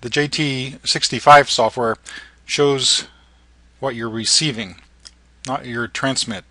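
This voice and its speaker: A middle-aged or older man narrating an informal tutorial in halting, short phrases. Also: medium pitch, steady tone, sharp tone, dry recording. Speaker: amateur radio operator and narrator.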